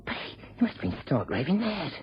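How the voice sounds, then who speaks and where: An earnest young constable, fairly high in voice and anxious by temper. The old radio drama recording is muffled with a light hiss. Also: male voice, huge pitch swings, quick pace. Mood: bewildered. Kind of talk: 1950s-60s British radio drama acting. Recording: dull, hissy vintage radio recording.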